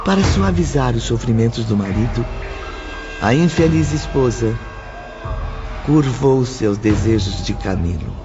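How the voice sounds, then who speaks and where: A man's voice: medium pitch, expressive, unhurried. A man narrating a dramatic audiobook in a scripted storytelling style. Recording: dull lo-fi, music behind.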